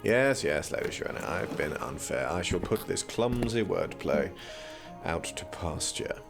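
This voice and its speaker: Very low male voice, creaky, learned young prince, dry, pedantic, teasing, audio drama. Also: lively intonation, measured pace, full character voice. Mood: playful. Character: erudite, teasing young prince studying magic. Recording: music behind the voice.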